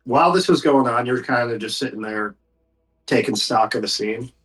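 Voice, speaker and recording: A man narrating a tabletop game as game master, improvising in a conversational, halting way and speaking for characters in plain speech. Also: medium pitch, a bit bright, low-quality recording.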